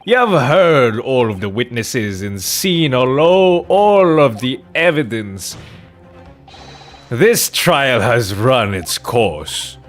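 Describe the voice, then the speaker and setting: A man voices a cold, disdainful aristocratic prosecutor from a courtroom game. He is pompous and theatrical, drawing out his words with sweeping pitch, over clear background music. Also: big pitch swings. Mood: cold scorn. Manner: pompous, drawn-out, disdainful delivery. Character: haughty, sneering male prosecutor lord, cold and theatrical.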